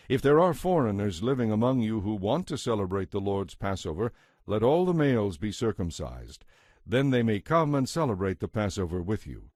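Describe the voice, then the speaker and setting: Casting: male narrator for scripture, lower register, measured and solemn, close dry studio sound. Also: darkish tone, no echo. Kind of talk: scripted narration, dramatized Bible reading.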